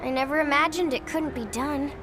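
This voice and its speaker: Eager, high-voiced young crew member. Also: female voice, fairly quick, a bit bright, slight background noise. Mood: incredulous. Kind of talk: video game cutscene acting.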